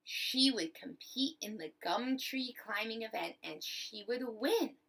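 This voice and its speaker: A woman reading a picture book aloud to children like a teacher, with expressive, deliberate phrasing. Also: on the high side, gravelly. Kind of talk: storybook read-aloud for children.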